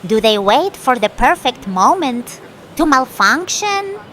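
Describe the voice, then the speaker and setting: A woman voicing an eager megaphone puppet interviewer, presenting in an exclamatory, deliberate way for a puppet show. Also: high-pitched, very animated, a bit bright, slight background noise, heavily acted.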